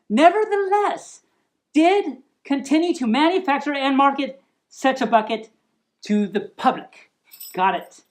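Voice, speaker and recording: A woman hosting a storytime, reading aloud in a playful, mock-serious manner with chatty asides and stumbles over long words. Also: high-pitched. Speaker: storytime show host.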